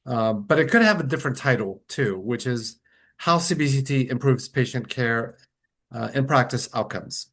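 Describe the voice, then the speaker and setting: A middle-aged man from Colombia, an orthodontist, giving a relaxed, conversational webinar lecture over a computer microphone on a video call, with frequent fillers and restarts. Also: medium pitch, moderate pace.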